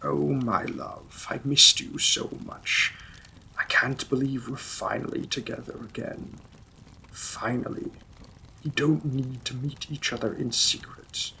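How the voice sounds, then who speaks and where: A man playing a possessive demon king and devoted lover in a romantic fantasy audio roleplay, often dropping his breathy voice to a whisper. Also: medium pitch, fairly even intonation, slow pace, sharp tone, slight background noise, exaggerated voice, rough recording. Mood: tender, concerned.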